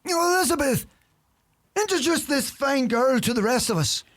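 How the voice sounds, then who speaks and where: An actor playing a scrawny, idle old uncle who grumbles and boasts, with a Donegal Irish accent, in a radio play. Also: male voice, extremely high pitch, animated, normal speed, a bit bright. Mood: droll. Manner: grumbling, droll.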